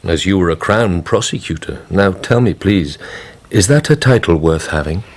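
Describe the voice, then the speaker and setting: An actor playing a courteous, vengeful count in a radio drama, speaking in a formal, controlled way. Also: male voice, low voice, lively intonation, moderate pace. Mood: cold, courteous.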